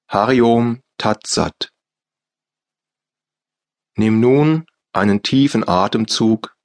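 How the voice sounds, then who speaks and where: A man with a German accent guiding a yoga nidra relaxation in slow, soft, calm instructions with long pauses. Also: on the low side. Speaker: meditation guide. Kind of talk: guided yoga nidra meditation.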